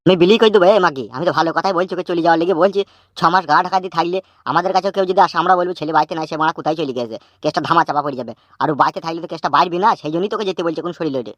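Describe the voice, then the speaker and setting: A man's voice: a little high, racing, fairly bright tone, no echo, lo-fi sound, close to an everyday voice. An actor voicing an anxious, scolding old cartoon father with a rural West Bengal Bengali accent, nagging and repeating words. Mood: exasperated scolding. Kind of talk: comic cartoon dubbing.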